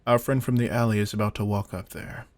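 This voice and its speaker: A man playing a veteran vampire hunter in an audio drama, a controlled, intense mentor who speaks in a deliberate, commanding, quietly menacing way. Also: fairly low pitch, fairly even intonation, no echo, close to an everyday voice. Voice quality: gravelly, husky. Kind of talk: scripted roleplay audio drama.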